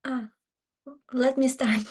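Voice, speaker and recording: A woman's voice: sits high, fairly even intonation, slow pace, warm tone, husky. A young woman from Uzbekistan in a class discussion, speaking hesitantly with many likes. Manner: hesitant, says like a lot. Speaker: student discussing.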